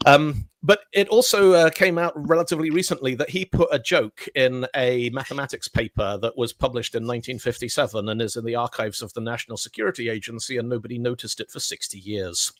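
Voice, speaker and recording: A man's voice: medium pitch, a little fast, few pauses, no echo. Dry-miked man telling stories. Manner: rambling storyteller, animated quotes. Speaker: podcast co-host. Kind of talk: casual chat.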